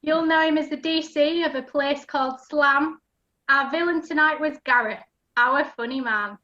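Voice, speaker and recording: A woman's voice: sits high, moderate pace, pushed and bright, slight background noise. A woman giving scripted rhyming narration for an amateur pantomime over a video call. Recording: dull lo-fi video call audio.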